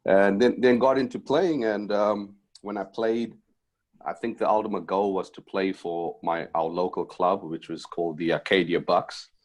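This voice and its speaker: Deep-voiced man from Zimbabwe, rambling. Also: steady tone, moderate pace. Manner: rambling, many fillers and restarts. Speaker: basketball head coach, guest. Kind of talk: casual interview chat.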